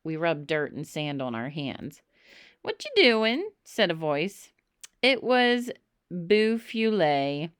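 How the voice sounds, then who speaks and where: A woman reading a children's story aloud in an expressive narrating style, with emphatic, dramatic phrasing. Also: sits low, slow pace, clear, resonant tone, dry recording, studio quality. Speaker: storybook reader.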